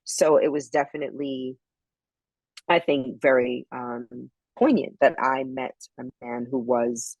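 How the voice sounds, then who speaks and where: A woman's voice: very deep voice, darkish tone, no room sound, rough recording. A middle-aged woman from Barbados, a podcast guest telling her story in a reflective, casual way with many ums. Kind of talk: casual interview chat.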